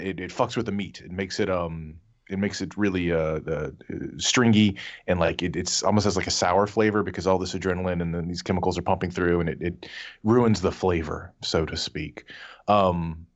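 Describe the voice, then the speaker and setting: Low-pitched man chatting casually, dryly profane. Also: steady tone, a little fast, lo-fi sound. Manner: rambling, wry, profane. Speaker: podcast panelist. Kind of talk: casual chat.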